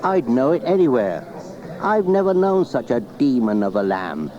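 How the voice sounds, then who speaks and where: A man's voice: medium pitch, very animated, moderate pace, dark tone, close to an everyday voice. A man voicing an indignant, grumbling countryman in a children's storybook, emphatic and exclaiming. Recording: dull cassette transfer with music.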